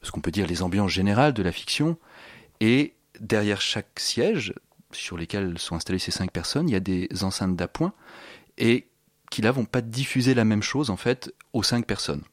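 Male interview guest, low-pitched, hesitant explainer who repeats words while searching, recorded close and dry. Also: lively intonation, moderate pace, no echo. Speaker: interview guest, sound installation creator.

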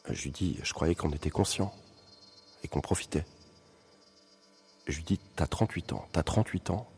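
Reproachful man in audio drama, really low voice, long reverb. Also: moderate pace, a little mellow, slightly rough, soft background music, heavily acted. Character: man recounting a reproachful exchange about age. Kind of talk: acted radio fiction monologue.

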